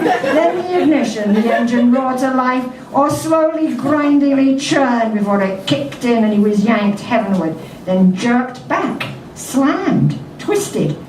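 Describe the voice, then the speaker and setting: A woman with a fairly high voice gives a live reading of a comic story-poem. She phrases it deliberately, with wry emphasis and dramatic pauses. The recording is roomy and echoing, picked up a little away from the mic. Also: darkish tone. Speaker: poetry reader at a tribute event. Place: indoor performance venue. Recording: roomy, noisy live venue, distant mic.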